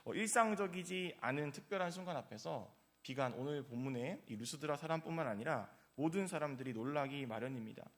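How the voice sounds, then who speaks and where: A man's voice: a little high, fairly quick, hardly any pauses. A male pastor giving an early-morning sermon in a church, telling personal stories in a conversational way with frequent filler sounds. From South Korea, grew up in Gyeongsang region.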